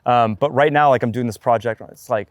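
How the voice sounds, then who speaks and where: A man with a medium-pitched voice talks casually, like a photographer vlogging on location. His delivery is loose and halting. Faint ambient noise sits behind him. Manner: halting, loose, informal talk full of "like". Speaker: documentary street photographer. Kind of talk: casual vlog chat while out shooting.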